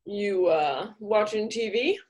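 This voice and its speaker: A woman's voice: medium pitch, slow pace, a little mellow, natural voice. A woman playing a smarmy, pushy male accountant on a date in a comedic radio play.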